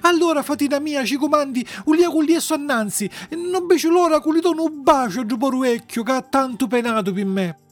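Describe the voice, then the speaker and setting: Un uomo con accento del sud Italia che interpreta un burattino bambino ingenuo, affettuoso ed entusiasta in una fiaba. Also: male voice, very high-pitched, brisk, hardly any pauses, faint music behind, pitched above the performer's own voice. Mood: pleading. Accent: southern Italian. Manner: excitable, childlike. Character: naive, eager, affectionate wooden puppet boy.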